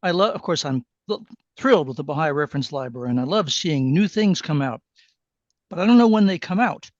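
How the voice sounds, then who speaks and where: A man with a slightly higher voice, chatty and a bit frustrated, putting a question to the teacher. He is heard over a dry, muffled video-call connection. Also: animated, a little fast, dry recording. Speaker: participant asking a question. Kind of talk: casual question in an online class.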